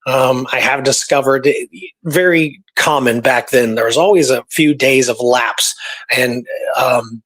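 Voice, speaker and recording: A man's voice: mid-range voice, a little slow, a bit bright, low-quality recording. A man co-hosting a talk show, telling history in a casual, conversational way, often checking in with the listener. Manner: conversational, peppered with "you know" and "right". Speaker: radio show co-host. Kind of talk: casual storytelling about Old West history.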